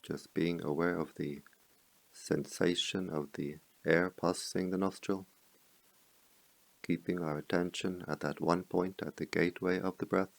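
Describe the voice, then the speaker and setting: A man leading a guided meditation as a teacher, speaking in slow, measured phrases with pauses between them. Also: low-pitched, fairly even intonation, creaky, no echo, low-quality recording.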